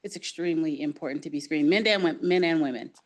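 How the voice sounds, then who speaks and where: A woman's voice: low voice, fast talker. A woman moderating a health talk, speaking earnestly and encouragingly as she thanks the doctor and opens the floor to questions.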